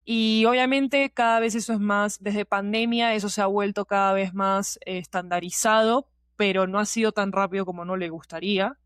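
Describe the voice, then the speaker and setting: A woman's voice: medium pitch, steady tone, normal speed, hardly any pauses, smooth, no echo. Latin American woman in casual podcast conversation, dry sound. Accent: Latin American Spanish with voseo. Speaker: guest, podcast fiction producer.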